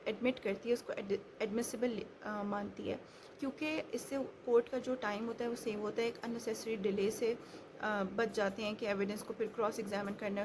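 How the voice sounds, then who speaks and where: A woman with a Pakistani accent giving an explanatory law lecture, instructive and restating her points as she goes. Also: fairly high pitch. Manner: instructive, repeats and rephrases points. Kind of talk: explanatory lecture mixing Urdu and English. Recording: clearly noisy background ambience.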